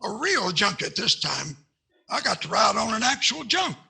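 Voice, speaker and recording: Raspy, high-pitched Southern senator windbag. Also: male voice, sing-song, moderate pace, bright, forceful tone, full character voice. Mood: blustering, folksy. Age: older. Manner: blustering, repeats himself with "I say". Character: blustering Southern senator, folksy, boastful windbag. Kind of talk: 1940s radio comedy acting. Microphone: headset on a video call.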